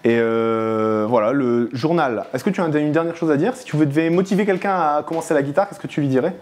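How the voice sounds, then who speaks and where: A man's voice: on the high side, brisk, darkish tone. A man hosting a casual studio interview, speaking informally and encouragingly to his guest. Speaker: interviewer, host. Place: indoor studio.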